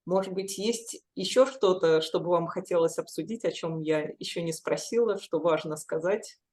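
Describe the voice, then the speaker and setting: A woman's voice: on the low side, moderate pace, darkish tone. A woman hosting a professional interview, speaking articulately in long, structured sentences. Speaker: interviewer, deputy editor of a journal.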